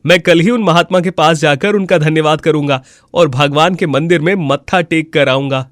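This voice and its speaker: A man playing a simple, kind-hearted villager in a cartoon moral story, with an earnest, expressive delivery. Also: on the high side, normal speed, no echo, studio quality, lightly acted. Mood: amazed, grateful. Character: honest, simple, kind-hearted village husband and his neighbours.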